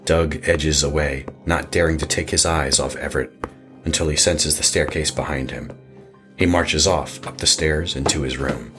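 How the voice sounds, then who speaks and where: A man's voice: rumbling low, normal speed, fairly bright tone, soft background music. A man narrating a scripted horror story in an audio drama.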